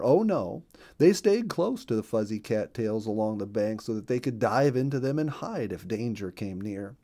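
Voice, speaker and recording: A man reading a children's story as storyteller, expressive and placing deliberate emphasis on key words. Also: medium pitch.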